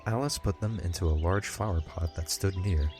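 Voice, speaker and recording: A man's voice: sits low, moderate pace, over music. A man narrating a scripted audiobook story.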